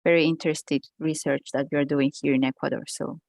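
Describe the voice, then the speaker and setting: A woman's voice: sits low, fairly even intonation, quick pace, vocal fry, darkish tone, dry recording. A woman moderator closing an online session with polite, formal thanks.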